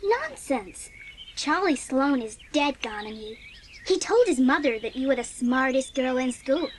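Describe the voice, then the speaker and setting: A high, animated schoolgirl voice acted by a woman, eager and earnest in a storybook drama. She is chatty and exclaiming, over faint background ambience. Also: measured pace, slight background noise. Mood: gossipy. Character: chatty, earnest young schoolgirl, Anne's loyal friend.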